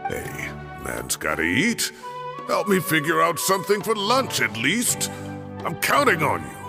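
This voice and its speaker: An actor playing a proud, cheeky old sage in a video game, protesting in a gravelly, plaintive way. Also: male voice, fairly high pitch, measured pace, music in the background, exaggerated voice. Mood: sheepish, pleading. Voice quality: gravelly, creaky. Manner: animated, protesting.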